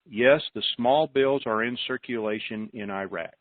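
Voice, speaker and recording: A man's voice: mid-range voice, slow, no room sound. An American man hosting an informal conference call, talking to callers and repeating words for emphasis. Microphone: telephone. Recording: narrow, tinny phone line.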